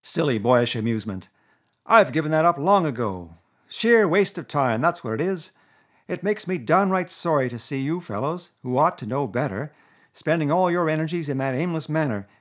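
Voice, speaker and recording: A man voicing a boastful, excitable male toad in a storybook reading, loud and gushing. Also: fairly high pitch, moderate pace, pitched above the performer's own voice.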